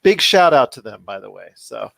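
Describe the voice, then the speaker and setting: A man's voice: mid-range voice, very animated, moderate pace, vocal fry, a bit bright, dry recording. A man hosting a podcast in an upbeat, chatty style, wordy and given to repeating himself. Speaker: podcast host.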